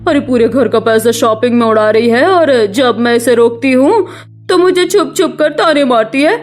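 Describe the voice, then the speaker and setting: A woman's voice: a little high, moderate pace, close to an everyday voice. A woman playing an older, quarrelsome, nosy aunt in a cartoon family melodrama, sarcastic and grumbling. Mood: complaining.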